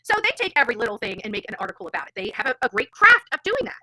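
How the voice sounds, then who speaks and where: A woman's voice: a little high, very animated, racing, sharp tone, no room sound. A woman hosting a true crime livestream, telling stories and commenting informally in a brash, feisty, rambling way. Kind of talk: informal live commentary with storytelling and reading aloud.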